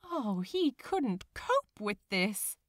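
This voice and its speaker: A woman's voice: mid-range voice, big pitch swings, drawn-out words, dry recording, studio quality. A woman narrating a story dramatically, with an emotive, theatrical delivery. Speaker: narrator of fan fiction audiobook.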